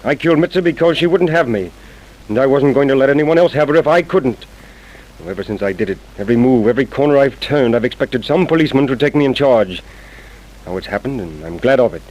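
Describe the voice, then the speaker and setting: An actor playing a calm, remorseful confessed murderer in a formal, matter-of-fact way, in old-time radio drama style. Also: male voice, medium pitch, fast, lightly acted, rough recording. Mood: resigned, composed. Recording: vintage radio broadcast recording.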